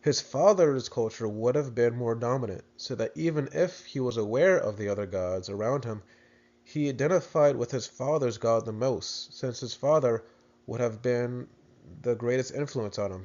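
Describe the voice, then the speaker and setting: A man's voice: mid-range voice, darkish tone, muffled recording. An American man presenting a video lesson in a casual, explanatory way, often restarting his sentences. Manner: thoughtful, with frequent restarts and fillers.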